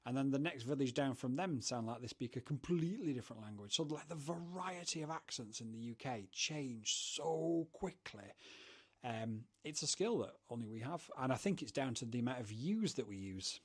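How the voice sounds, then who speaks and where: A man's voice: mid-range voice, animated, moderate pace, slightly rough. A man from the UK, hosting a rambling, chatty livestream from his home studio and talking to viewers in chat. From United Kingdom. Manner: rambling, chatty, self-deprecating. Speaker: livestream host.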